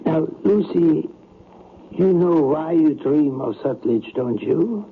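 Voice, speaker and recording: An actor playing a skeptical, patronizing psychiatrist in an old radio drama, with a deliberate, lecturing manner. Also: male voice, a little high, lively intonation. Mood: assured, lecturing. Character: probing, skeptical male psychiatrist, confident and patronizing. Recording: narrow, noisy vintage radio broadcast.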